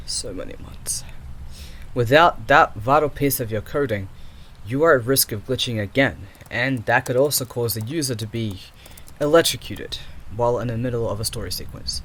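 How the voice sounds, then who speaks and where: A man plays an exasperated male programmer in an audio drama, talking to the AI he built. His voice sits at a middling male pitch, with a sarcastic, fed-up edge. There is audible background noise behind him. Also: a bit bright, close to an everyday voice. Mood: weary, exasperated. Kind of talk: audio roleplay acting.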